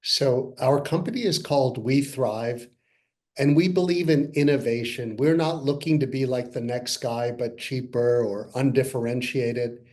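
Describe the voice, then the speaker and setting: A man in his sixties from Canada, a company co-founder earnestly pitching to investors in a webinar over a video call. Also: measured pace, a little mellow. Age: 60s. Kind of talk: webinar presentation. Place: parents' home, by video call.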